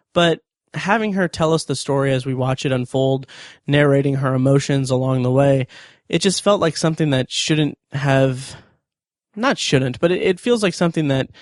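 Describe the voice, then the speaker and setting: Guy doing podcast commentary, kinda halting delivery, average pitch, really dry close recording. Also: dry recording. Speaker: podcast host. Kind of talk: podcast review commentary from notes.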